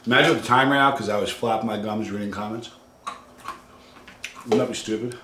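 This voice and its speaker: A man casually hosting a livestream and reading out viewer comments, halting and conversational, trailing off quietly at the ends of phrases. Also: lowish voice, a little hiss or hum. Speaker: host of a hot sauce challenge channel. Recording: roomy, echoey room sound.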